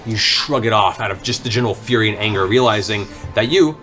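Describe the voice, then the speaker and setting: A man's voice: lowish voice, fast, pushed and bright. A man narrating a tabletop fantasy game as game master, in a dramatic, descriptive way. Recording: music bed under the voice. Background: background music.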